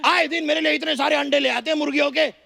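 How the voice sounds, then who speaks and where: Shrill, gravelly overgrown son. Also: male voice, fairly even intonation, fast, exaggerated voice. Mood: exasperated. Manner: melodramatic, forceful shouting delivery. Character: overgrown, obedient son, loud film-hero parody. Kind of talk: stage sketch comedy.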